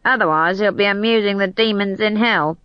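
A woman voicing a gruff male dwarf warrior who blusters and gabbles in a gossipy way. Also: medium pitch, a little fast, no room sound, voice pushed up, natural voice. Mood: menacing. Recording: slightly dull, dry recording.

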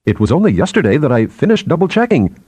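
A man's voice: a little high, very animated, quick pace, darkish tone, slightly rough, no echo, pitched above the performer's own voice. A man voicing a nervous, apologetic junior scientist who chatters deferentially to his superior in an audiobook. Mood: miserable, hesitant. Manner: chattering, hesitant.

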